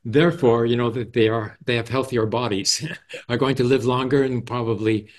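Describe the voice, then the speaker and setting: An older man chatting in a group discussion on a video call, haltingly, often adding "you know". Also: medium pitch, steady tone. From Canada. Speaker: forum participant and moderator. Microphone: headset on video call.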